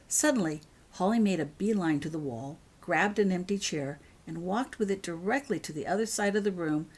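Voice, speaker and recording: A woman's voice: on the low side, darkish tone, husky. A woman reading her own story as scripted audiobook narration.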